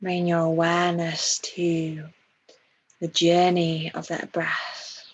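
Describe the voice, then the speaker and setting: Low breathy lady doing guided meditation, super slow n soothing, soft voice. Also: completely flat delivery, lo-fi sound. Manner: soothing, hushed, unhurried delivery with long pauses.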